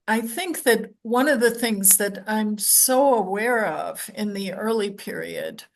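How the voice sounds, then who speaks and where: Older woman panelist, croaky from a cold, slow and thoughtful, faint noise behind. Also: mid-range voice. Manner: thoughtful, unhurried, many ums and pauses. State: hoarse from a cold. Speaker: roundtable panelist. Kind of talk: academic roundtable talk.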